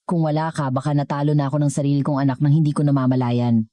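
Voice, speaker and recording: Audio story role: elderly male corporate patriarch played by a woman, stern and deliberate, low dark tone. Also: level tone, no echo, natural voice. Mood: kindly. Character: stern, wounded old company chairman and father.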